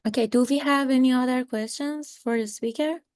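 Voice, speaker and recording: A woman's voice: sits high, a little fast, darkish tone, dry recording, clean studio sound. A young woman hosting a video seminar, answering questions and introducing speakers with many fillers. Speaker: seminar moderator. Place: home room. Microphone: video call.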